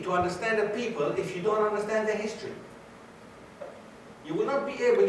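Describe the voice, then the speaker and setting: Male guest lecturer, fairly high voice, quizzes the crowd, recorded far off in a very reverberant hall. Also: slight background noise. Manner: questions the audience, repeats key points for emphasis. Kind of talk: university society lecture.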